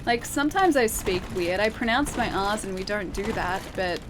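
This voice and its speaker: A woman's voice: medium pitch, lively intonation, normal speed, background noise. An Australian woman streaming a game, chatting casually and reading her chat aloud, laughing often and thinking aloud with plenty of fillers.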